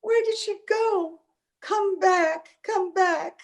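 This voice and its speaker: An anxious young sweetheart in an old-time radio drama, played by a woman. Her high voice is earnest and fretful, devoted and persistent, with a melodramatic delivery. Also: slow pace, exaggerated voice. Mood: frightened. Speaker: amateur radio play actor. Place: home, over a video call.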